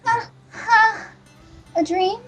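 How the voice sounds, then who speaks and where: A woman voicing a nervous, dazed young princess in a fantasy game, tentative, soft and husky. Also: very high-pitched, very slow, pitched above the performer's own voice, heavily acted. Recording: dull lo-fi mic, music behind.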